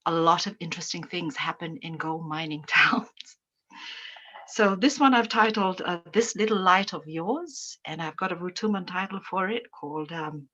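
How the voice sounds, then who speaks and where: A woman from Fiji on a panel, reading her own poetry in a measured, expressive way. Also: fairly low pitch, dull audio. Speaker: poet reading her work. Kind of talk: slow, deliberate poetry reading.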